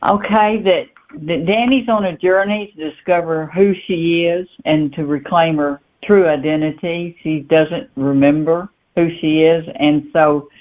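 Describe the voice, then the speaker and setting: A woman's voice: sits low, fairly even intonation, a little slow, hardly any pauses. Woman interviewee, informal, repeats words while thinking, narrow telephone audio. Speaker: author guest. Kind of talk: casual interview answers. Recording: narrow tinny phone line.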